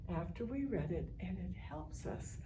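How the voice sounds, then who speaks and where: An older American woman with a slightly low voice teaches families in an informal online lesson. She explains in measured phrases, leaning on key words. The mic is at a distance and there is clear noise in the recording. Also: animated. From Chicago, United States. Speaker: literacy educator leading online family reading sessions.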